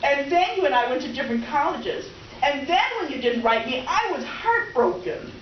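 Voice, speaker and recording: Woman acting high-voiced, melodramatic old flame. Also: expressive, moderate pace. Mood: dramatic, needy. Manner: gushing, theatrical, swinging from laughter to tears. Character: overwrought, clingy middle-aged old flame, melodramatic and unhappy. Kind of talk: comic stage-play reading. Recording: roomy, distant, muffled noisy live recording.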